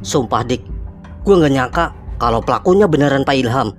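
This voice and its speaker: Casting: man as nervous young amateur sleuth for a cartoon, casual slang, medium-pitched, over music. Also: lively intonation, normal speed, lightly acted. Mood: reflective. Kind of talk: Indonesian cartoon dialogue, colloquial. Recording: music behind the voice.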